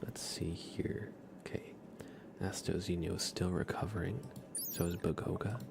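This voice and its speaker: A soft-spoken man hosting a relaxed ASMR gaming livestream, often dropping into a whisper. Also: deep voice, a little slow, gravelly. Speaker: livestream host.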